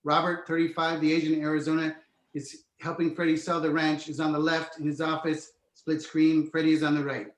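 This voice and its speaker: A roomy echo surrounds a man's slightly high voice. He reads screenplay scene headings and action lines as a scripted narrator. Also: completely flat delivery, brisk. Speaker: narrator reading stage directions. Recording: roomy, echoing sound.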